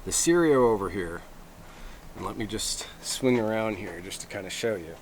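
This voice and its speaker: A man's voice: lowish voice, expressive, normal speed, a little hiss or hum, poor audio. A man giving a casual, rambling hands-on demonstration as he explains gear, in an informal manner. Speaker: presenter of CB radio antenna tests.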